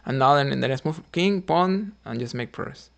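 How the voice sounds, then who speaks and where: A man talks through his chess moves live, half to himself and half to his viewers. His voice sits at an ordinary middle pitch with a gravelly fry. He speaks quietly and hesitantly, trying out moves in murmured fragments. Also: lively intonation, normal speed. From Cuba. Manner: thinking aloud, muttering moves quietly, hesitant. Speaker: chess streamer. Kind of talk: live-streamed commentary on his own chess games.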